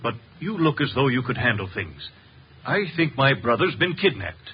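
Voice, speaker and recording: An actor playing a concerned, polite gentleman with measured speech in a 1950s radio drama. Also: medium pitch, sing-song, natural voice. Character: concerned, well-mannered gentleman, earnest. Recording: muffled, hissy vintage radio broadcast.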